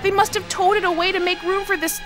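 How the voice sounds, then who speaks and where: A woman's voice: very high voice, fairly even intonation, fast, music in the background. A woman playing a breezy, argumentative dancer sister who exclaims dramatically in a comic sci-fi audio play. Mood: alarmed. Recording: clear background noise.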